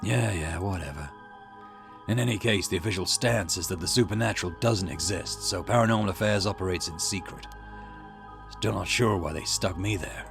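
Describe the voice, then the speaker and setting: A man voicing a gruff, impatient older police detective in a visual novel, with curt, weary, grumbling delivery. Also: low-pitched, normal speed. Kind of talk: acted visual novel dialogue. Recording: music behind the voice.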